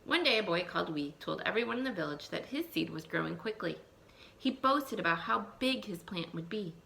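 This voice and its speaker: A woman teacher reading a picture book aloud to young children, expressive, thinking aloud and putting questions to her listeners. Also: lowish voice, fairly quick, gravelly, slight background noise, rough recording. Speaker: elementary school teacher.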